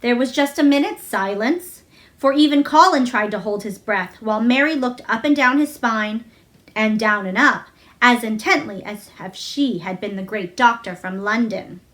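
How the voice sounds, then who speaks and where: A woman reading a children's novel aloud as narrator, in an expressive storytelling style. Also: on the high side, moderate pace. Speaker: storybook reader.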